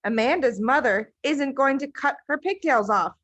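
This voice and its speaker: A woman's voice: high voice, animated, moderate pace, fairly bright tone, no echo, highs cut off, pitched above the performer's own voice. A woman reading a storybook, voicing an indignant, curious young schoolgirl with eager, incredulous questions.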